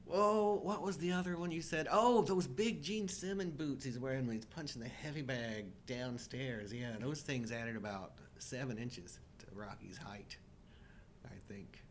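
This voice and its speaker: A middle-aged man co-hosting a live show, chatting casually about movies with wry, jokey exaggeration. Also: a little slow. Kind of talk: casual humorous movie-discussion chat. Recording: noticeable low rumbling background noise.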